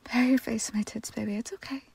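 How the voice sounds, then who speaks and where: A woman speaking in a soft, breathy whisper in an intimate roleplay style, slow and soothing. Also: on the high side, steady tone, slight background noise.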